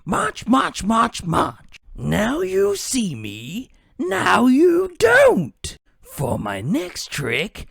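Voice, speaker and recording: A man's voice: extremely high pitch, wildly swooping pitch, very slow, creaky, fairly bright tone, husky, no room sound, studio quality, voice pushed up, full character voice. A man voicing a manic, sinister trickster magician, showy, taunting and gleeful. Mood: gleefully sinister.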